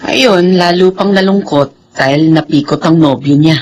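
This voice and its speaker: A woman's voice: sits low, measured pace, a little mellow, a little hiss or hum. A motherly, worried aunt. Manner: motherly, encouraging. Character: concerned middle-aged aunt, warm-hearted.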